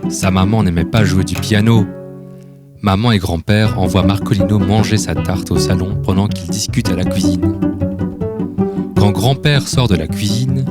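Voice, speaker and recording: A man reading a scripted children's story as the storyteller. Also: deep voice. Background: instrumental music.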